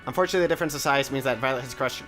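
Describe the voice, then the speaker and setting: Quick player reading out game text, dry little asides, music faint underneath. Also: medium pitch. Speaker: let's play narrator.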